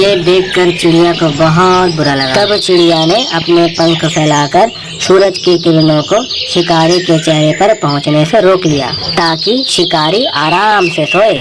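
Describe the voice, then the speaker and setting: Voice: mid-range voice, heavy background noise. A narrator reading a scripted children's moral story. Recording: music and birdsong behind the voice.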